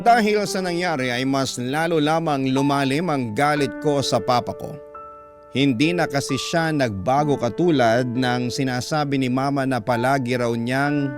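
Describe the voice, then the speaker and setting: A man with a Filipino accent reading a letter aloud as dramatic scripted radio storytelling. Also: medium pitch. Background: background music.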